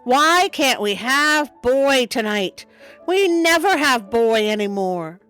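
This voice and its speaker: A woman voicing a whiny, scheming little boy wolf in a storybook, with drawn-out complaints. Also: high voice, lively intonation, fairly bright tone, soft background music, pitched above the performer's own voice, exaggerated voice.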